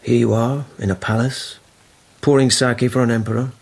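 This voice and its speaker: A man plays a shrewd courtly questioner in a radio period drama. His voice is mid-pitched, and he probes in measured, wry, insinuating phrases. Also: steady tone, creaky, a little mellow, close to an everyday voice. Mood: probing.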